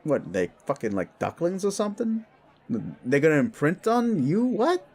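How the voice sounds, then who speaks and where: A man's voice: fairly high pitch, big pitch swings, takes it slowly, a little mellow, pitched above the performer's own voice, full character voice. A man playing a brash, short-tempered werewolf bully boss in an audio drama, talking in an impatient, defensive, sweary way. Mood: incredulous.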